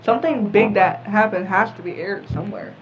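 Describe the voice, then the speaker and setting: A woman's voice: medium pitch, fairly quick, highs cut off, a little hiss or hum. A teenage American girl talking casually as a panelist in a group discussion. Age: teens. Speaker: podcast panelist.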